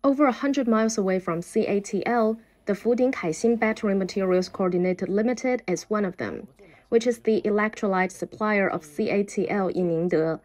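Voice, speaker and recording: A woman reads a scripted documentary voice-over at a steady, even pace. Her pitch sits in the middle range, and the delivery stays measured throughout. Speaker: narrator.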